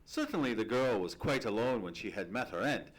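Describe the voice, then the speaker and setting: Man playing an animated, proper Victorian gentleman. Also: lowish voice, fairly quick, poor audio. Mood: matter-of-fact. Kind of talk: live old-time radio drama acting. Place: theatre stage.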